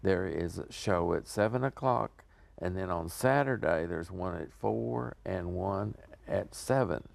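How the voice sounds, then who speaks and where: An older American man with a low, very creaky voice, giving a casual interview. He talks earnestly and wanders haltingly from thought to thought, in a close, dry recording. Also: a little mellow, no room sound. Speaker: interview guest promoting a community theater show.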